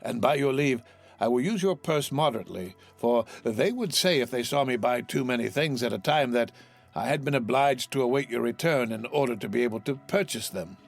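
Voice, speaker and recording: A man voicing a mild, humble old father in a storybook, with a halting, tender manner. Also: mid-range voice, expressive, gravelly. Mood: gentle.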